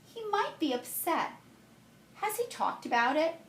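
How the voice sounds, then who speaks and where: A woman's voice: extremely high pitch, sing-song, a little slow, a little hiss or hum, pitched above the performer's own voice, heavily acted. Woman reading as thoughtful, fair-minded dad, echoey. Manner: gently questioning and reasoning. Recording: roomy, echoey home-style recording.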